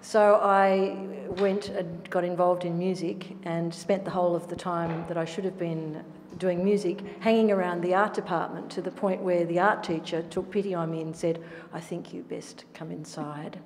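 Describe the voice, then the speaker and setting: A middle-aged woman talks about her work in a reflective, self-deprecating way. Her voice sits a little low. It echoes with long reverb and faint noise. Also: steady tone, moderate pace, hardly any pauses, darkish tone. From Australia. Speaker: painter. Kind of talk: panel discussion answer. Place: art gallery room.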